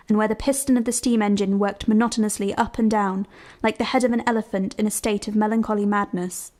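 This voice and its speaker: A woman's voice: medium pitch, a little mellow. A woman narrating, reading descriptive literary prose aloud.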